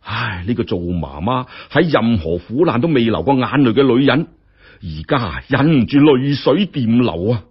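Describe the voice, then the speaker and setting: Husky, animated Cantonese storyteller man. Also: mid-range voice, normal speed, lo-fi sound. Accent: Hong Kong Cantonese. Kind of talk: dramatic scripted story narration.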